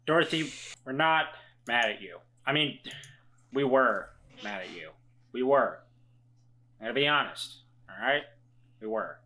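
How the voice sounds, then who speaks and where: A man's voice: medium pitch, animated, measured pace, pauses often, bright, forceful tone. A man acting in an improvised tabletop game with emphatic, earnest delivery and folksy phrasing. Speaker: player in tabletop role-playing podcast. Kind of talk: dramatic in-character improvised role-play.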